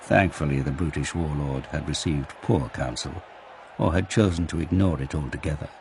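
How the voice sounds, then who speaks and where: A man's voice: rumbling low, normal speed, darkish tone. A man narrating an audio drama in a dramatic, scripted storytelling style. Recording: clear background noise and ambience.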